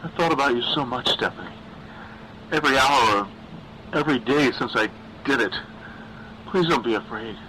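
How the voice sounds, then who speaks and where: A man's voice: mid-range voice, normal speed, long pauses, pushed and bright, a little hiss or hum, close to an everyday voice, poor audio. A man voicing a lovesick, clingy undead young man who pleads and stammers in a horror audiobook.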